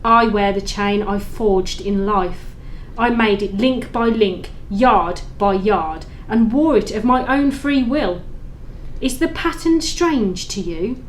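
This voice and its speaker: A woman's voice: medium pitch, slow, a little hiss or hum, close to an everyday voice, low-quality recording. A woman playing the mournful, tormented ghost of a dead business partner, speaking solemnly in an audio play with wailing laments. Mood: anguished, solemn. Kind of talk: library audio play acting.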